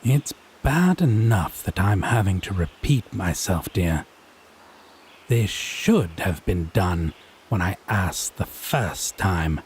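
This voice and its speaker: Guy doing a snooty fae man for audio roleplay, unhurried and teasing, super expressive pitch, bit of background noise. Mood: commanding, teasing. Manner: arch, pompous, drawling with theatrical emphasis. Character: haughty, teasing male Fae, theatrically prim and domineering. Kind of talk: scripted audio roleplay drama.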